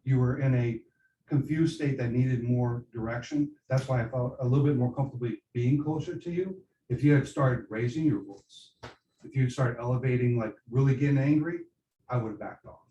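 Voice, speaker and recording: A man's voice: medium pitch, fairly quick, dark tone, slight background noise. A male nursing student reflecting hesitantly on his performance, with many restarts. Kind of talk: debrief reflection. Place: simulation room. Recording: dull, distant, echoey room.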